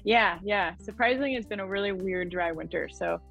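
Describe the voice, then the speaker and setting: A woman's voice: mid-range voice, brisk, gravelly, fairly bright tone, highs cut off, faint music behind. A woman from Idaho, a podcast guest, chatting in an upbeat way and laughing often. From Idaho, USA. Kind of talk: casual conversation.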